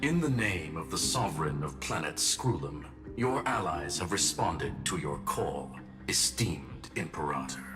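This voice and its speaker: An actor playing a polite aristocratic gentleman scholar in a video game, speaking precisely and formally. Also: a bass voice, lively intonation, a little slow, over music. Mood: courteous resolve. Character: courteous, aristocratic gentleman scholar, calmly confident.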